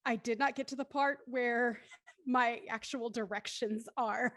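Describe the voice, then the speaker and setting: A woman's voice: a little high, steady tone, a little slow, a bit bright, no room sound. A woman hosting a casual group discussion, talking informally as moderator. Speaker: host of a cast post-show discussion.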